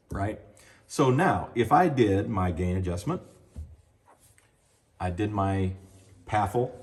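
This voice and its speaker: A man's voice: fairly low pitch, a little mellow. Man teaching at a sound desk. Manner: casual, explanatory, speaking into a handheld mic while adjusting a mixing console. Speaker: audio trainer. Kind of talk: hands-on sound desk tutorial. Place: church sound booth at a mixing console. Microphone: handheld microphone.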